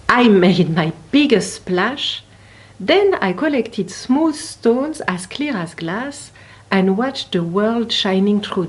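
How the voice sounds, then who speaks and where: A woman reading a children's story aloud expressively, with deliberate, dramatic emphasis on key words. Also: medium pitch. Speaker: picture book reader at a library.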